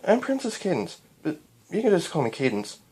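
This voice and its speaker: A performer voicing a friendly, confident young female pony princess with a gentle, coaxing manner for a story. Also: medium pitch, huge pitch swings, brisk, husky, pitched above the performer's own voice, poor audio. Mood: reassuring.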